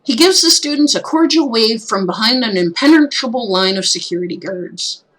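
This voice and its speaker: A woman with a slightly hoarse, husky voice reading a visual novel aloud, expressive with casual asides. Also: a little high, moderate pace, rough recording. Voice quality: husky, throat not at its best.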